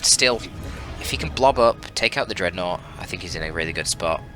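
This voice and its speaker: A man's voice: deep voice, animated, fairly quick, bright, forceful tone, faint music behind. A man giving analytical, informal live game commentary in a casual, mildly sweary, exclamatory way. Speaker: esports caster, channel host.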